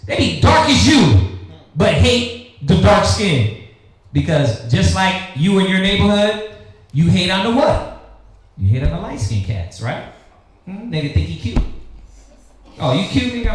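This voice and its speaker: An American man preaching and teaching scripture in a confrontational street-talking style, firing rhetorical questions at his listeners. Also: on the high side. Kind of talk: fiery teaching sermon with call and response. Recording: very echoey room, faint noise.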